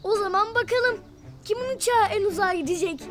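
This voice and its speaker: A woman voicing a small, kind-hearted, eager young cartoon animal in an expressive, childlike way for a children's show. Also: extremely high pitch, brisk. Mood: excited, chatty. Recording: music behind the voice. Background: cartoon music.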